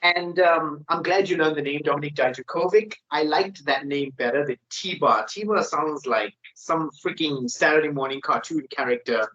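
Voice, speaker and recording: A man's voice: on the high side, normal speed, hardly any pauses, fairly bright tone. A man co-hosting a wrestling predictions podcast in casual, opinionated chat. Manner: opinionated, ranting. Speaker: podcast co-host. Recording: dull lo-fi sound.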